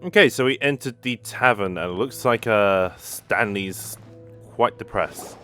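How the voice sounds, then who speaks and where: A man's voice: lowish voice, unhurried, sharp tone. A man giving casual running reactions as he streams a game. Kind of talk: casual gameplay commentary. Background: game music.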